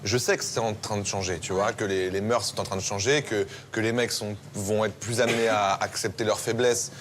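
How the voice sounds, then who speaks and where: Guy talking fast and earnest in a group debate, repeats himself a lot, faint hum behind. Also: lowish voice, steady tone. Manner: quick, earnest, repeats words. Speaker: group discussion participant.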